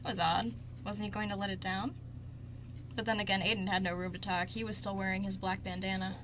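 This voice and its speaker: A woman's voice: medium pitch, level tone, a little fast, background noise. A woman narrating a scripted story as host of an amateur radio show.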